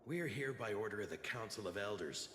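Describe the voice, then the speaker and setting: A man's voice: on the low side, lively intonation, fairly quick, fairly bright tone, a little hiss or hum. A man playing a stern, self-important city guard in a video game, barking threats on official duty. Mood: arrogant. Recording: echoey game audio with music behind.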